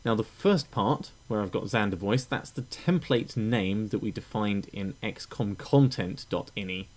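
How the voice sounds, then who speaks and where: A man narrating a step-by-step software tutorial in an informal, explanatory way. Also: fairly low pitch, unhurried. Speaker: tutorial presenter.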